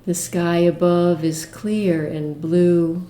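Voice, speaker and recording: A woman leading a guided meditation with imagery, speaking in a gentle, soothing manner with long pauses. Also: fairly low pitch, steady tone, reverberant.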